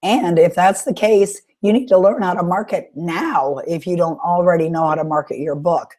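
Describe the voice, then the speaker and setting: Low-voiced woman with a sore throat. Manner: warm encouraging coaching talk with frequent "uh" pauses. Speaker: webinar host and author coach. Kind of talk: live webinar Q&A.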